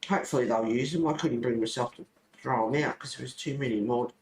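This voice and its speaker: A man talks in an informal, rambling way, like someone hosting a laid-back hobby stream. His voice sits at an average male pitch. The room adds a clear echo, with faint noise behind him. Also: fast talker. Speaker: host of a colouring club stream. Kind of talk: casual rambling chat while colouring.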